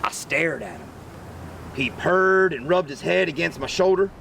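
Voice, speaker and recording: Male teacher type for picture-book read-aloud: high, animated voice with some fry, recorded a bit off-mic. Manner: animated, pausing to quiz listeners. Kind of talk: expressive children's read-aloud. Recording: distant mic, rumbly background noise.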